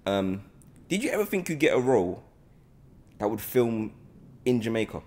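A man's voice: fairly low pitch, sing-song, moderate pace, darkish tone. A young man with a London accent hosting a casual chat in a slangy, playful way. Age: young adult. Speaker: podcast host. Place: bright open loft room, indoors. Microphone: podcast microphone on boom arm.

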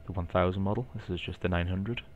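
Geordie male, deep, easygoing explainer thinking aloud, slightly muffled home-recorded sound. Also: quick pace, a little hiss or hum. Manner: relaxed, explanatory, thinking aloud with light asides. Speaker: flight simulator video maker. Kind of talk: casual narrated gameplay walkthrough.

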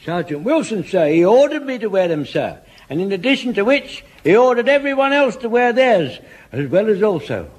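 A rambling old army corporal. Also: male voice, high voice, sing-song, moderate pace, low-quality recording. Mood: eager. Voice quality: rambling, muddled grammar. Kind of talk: 1970s radio sitcom acting.

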